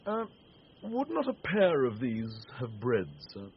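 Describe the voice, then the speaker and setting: Impudent fool for Shakespearean radio comedy, very lively pitch, teasing wordplay, slightly noisy recording. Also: male voice, measured pace. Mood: wheedling, sly. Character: witty male jester, impudent, wordplaying and wheedling. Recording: dull radio recording, faint noise.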